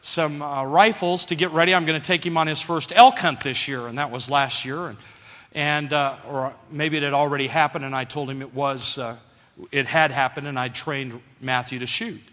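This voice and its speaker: Guy preaching, bright voice, fired up, asks the crowd to say stuff back, echoey hall sound. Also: fairly high pitch, moderate pace. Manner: animated, calls for congregation response. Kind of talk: sermon.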